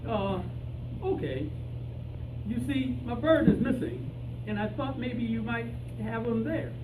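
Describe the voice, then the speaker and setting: A man's voice: extremely high pitch, lively intonation, unhurried. A man playing an anxious, naive amateur detective in an old-time radio comedy, hesitant and earnest. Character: anxious, naive male amateur detective hunting his lost canary. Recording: echoey room from far off, noisy.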